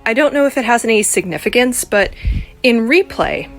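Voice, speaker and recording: A woman's voice: a little high, expressive, moderate pace, faint music behind. A woman leaving casual feedback as a podcast listener, talking in a conversational, musing way. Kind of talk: casual personal commentary.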